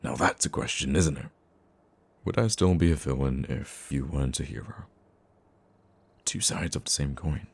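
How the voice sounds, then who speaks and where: A man playing a gruffly caring, teasing supervillain who comforts a nemesis in an intimate ASMR roleplay, with a deep, gravelly voice. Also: full character voice. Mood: reflective, tender. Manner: soft-hearted, teasing, halting when flustered.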